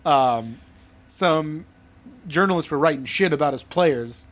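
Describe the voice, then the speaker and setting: A man co-hosting a casual podcast, joking, swearing and laughing through quick banter. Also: a little high, fairly bright tone. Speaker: podcast co-host. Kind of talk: casual chat.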